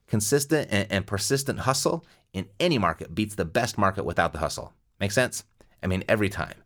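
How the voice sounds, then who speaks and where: A man with a fairly low voice hosts a podcast in a punchy, motivational way. He leans on repetition to hammer his points home, and he welcomes a guest with upbeat enthusiasm. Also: expressive, brisk, a bit bright. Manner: motivational, repeats phrases for emphasis. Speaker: podcast host. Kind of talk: energetic podcast monologue and interview.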